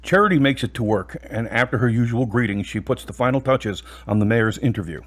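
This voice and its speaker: A man with a husky voice reading scripted narration for an audio drama. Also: medium pitch, expressive, a little fast.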